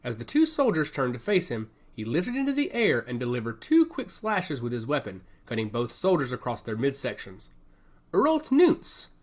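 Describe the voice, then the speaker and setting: A man's voice: mid-range voice, sing-song, brisk, hardly any pauses. A man narrating an action story as a scripted, dramatic audiobook.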